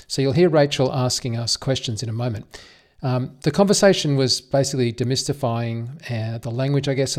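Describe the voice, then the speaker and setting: A man with an Australian accent, hosting a podcast and casually introducing a recorded panel, with frequent fillers. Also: mid-range voice. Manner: hesitant, many fillers. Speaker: podcast host.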